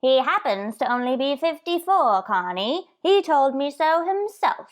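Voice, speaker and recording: An actress voices an elderly landlady in a radio comedy, her voice pitched fairly high. She is prim, coy and lovestruck, protesting in old-time sitcom style. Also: very animated, a little slow. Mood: coy denial.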